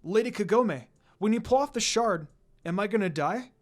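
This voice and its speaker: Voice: medium pitch, lively intonation, normal speed, lightly acted. A woman voicing a timid, worried young boy in a story reading, speaking hesitantly.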